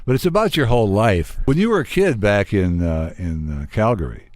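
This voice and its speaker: A man's voice: on the low side, animated, brisk, darkish tone, dry recording, studio quality. A man hosting a radio show, talking casually with a guest in an interview.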